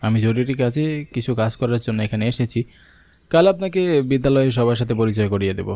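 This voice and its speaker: A man's voice: mid-range voice, lively intonation, quick pace, warm tone, no room sound, close to an everyday voice. A man voicing a young schoolmaster in a horror cartoon who also narrates the story, with an earnest, anxious manner. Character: young adult male schoolmaster, earnest nephew.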